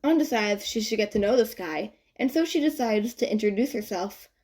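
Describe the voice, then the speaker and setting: A woman narrating a written story in an expressive, informal and wry way. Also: mid-range voice, normal speed. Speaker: fan fiction narrator.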